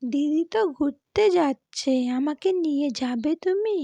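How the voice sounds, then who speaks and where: A woman's voice: high voice, animated, measured pace, dark tone, no echo. A woman voicing a sweet, sulky little girl in a cartoon, with a pleading, childlike manner.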